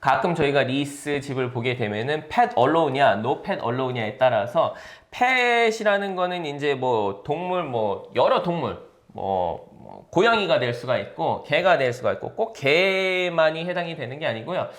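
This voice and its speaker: A man's voice: fairly high pitch, sing-song, normal speed, hardly any pauses, a bit bright. A man from Seoul, a real estate agent, explaining housing rules in casual chat and stumbling over English legal terms. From Seoul, South Korea. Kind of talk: conversational explainer talk.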